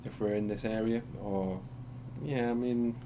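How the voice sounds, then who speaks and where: A man with a croaky voice from being unwell, talking through market charts in a rambling, hesitant way with many ums and restarts. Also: on the low side, unhurried. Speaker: crypto chart analyst. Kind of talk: casual screen-share market commentary. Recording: steady background hum.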